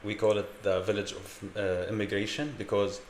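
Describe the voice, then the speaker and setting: Young Lebanese man, low voice, relaxed storytelling, roomy sound, faint background hum. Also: moderate pace. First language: Arabic. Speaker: guest. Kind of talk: casual interview.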